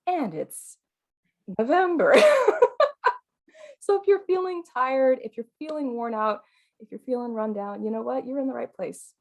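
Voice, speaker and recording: A non-binary teacher with a female-sounding voice, leading a live online qigong class in a calm, soothing, chatty way with fillers and light laughs. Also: a little high, moderate pace, creaky, pauses often, lo-fi sound.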